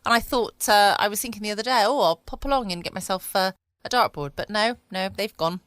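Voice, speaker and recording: A southern English woman hosts a casual bedtime podcast. She is chatty, playful and gently self-mocking, at a medium pitch. The home recording is dry, with no room echo. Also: a little fast. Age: adult past her twenties. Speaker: podcast host.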